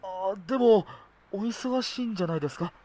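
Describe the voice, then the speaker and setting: An actor playing a polite, soft-mannered middle-aged uncle in an audio drama, speaking formally and wistfully. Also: male voice, sits high, fairly quick, no echo, close to an everyday voice. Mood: courteous.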